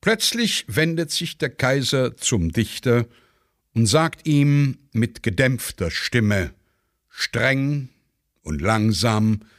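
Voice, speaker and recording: A man narrating a scripted radio play in a deliberate, measured manner. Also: medium pitch, lively intonation, dry recording, clean studio sound.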